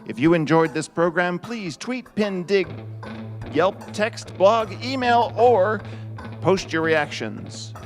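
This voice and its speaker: Male announcer, slightly high voice, reading radio play credits over music. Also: expressive, slow. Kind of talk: scripted radio show credits.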